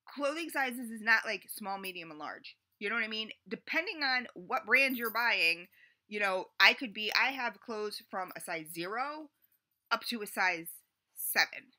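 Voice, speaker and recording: A woman's voice: on the high side, bright, forceful tone. A woman in her late forties talking in a rambling, chatty confessional way, full of fillers and restarts. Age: 40s. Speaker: vlogger. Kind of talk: casual confessional vlog.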